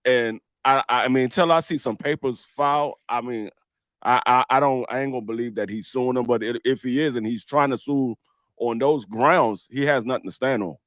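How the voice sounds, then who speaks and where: A man's voice: medium pitch, moderate pace, fairly bright tone, dry recording. Guy restarting his sentences a lot making his case, dry mic. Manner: stammering restarts, argues with examples. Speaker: co-host. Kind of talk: casual sports chat.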